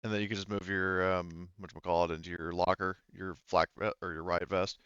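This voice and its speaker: Man, low smooth voice, game master, halting casual explanations, dry slightly dull audio. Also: flat delivery, a bit bright, no room sound. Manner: halting, rambling, informal with mild swearing. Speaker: game master of an online tabletop roleplaying game.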